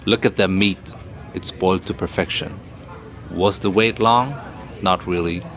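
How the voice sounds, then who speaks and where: Low-voiced man narrating a travel vlog. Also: measured pace. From Sweden. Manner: playful, joking. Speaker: travel vlogger. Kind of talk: voice-over narration. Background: faint music.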